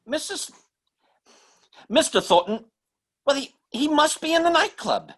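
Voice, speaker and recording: A man playing an earnest stage psychic in an old-time radio drama, speaking haltingly. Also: extremely high pitch, sing-song, fairly bright tone, full character voice. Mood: urgent. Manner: halting, stammering. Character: earnest male stage psychic turned urgent helper.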